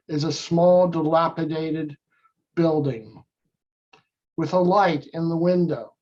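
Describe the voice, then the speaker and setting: A man leading a guided meditation, speaking in measured short phrases with long pauses between them. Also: fairly high pitch, a little mellow. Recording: dull lo-fi audio.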